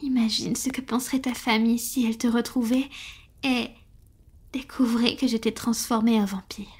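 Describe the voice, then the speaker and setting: A woman playing a tender, devoted female vampire in an intimate roleplay audio, speaking softly and affectionately, often in a breathy whisper. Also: on the high side, fairly even intonation. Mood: loving, anxious. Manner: slow, gentle, affectionate, with pauses. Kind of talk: French roleplay audio, soft intimate ASMR acting.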